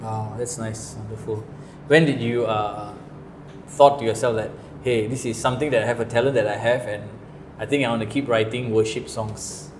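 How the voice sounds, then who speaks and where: A man's voice: fairly low pitch, steady tone, moderate pace, a little mellow. Man hosting an interview, friendly, brief affirmations, faint background noise. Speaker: interview host. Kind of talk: interview questions.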